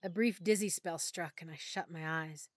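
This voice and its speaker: A woman narrating a first-person audiobook in a soft, emotional storytelling manner. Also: fairly low pitch, moderate pace, no room sound, clean studio sound.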